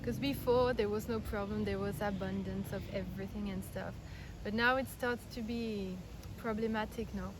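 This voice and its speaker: A woman in her thirties from Belgium, raised in France, calmly explaining her views in an interview, earnest and step by step with frequent restarts. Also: medium pitch, normal speed. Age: 30s. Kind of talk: casual explanatory interview answers. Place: outdoors in India. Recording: outdoor recording with clear background noise.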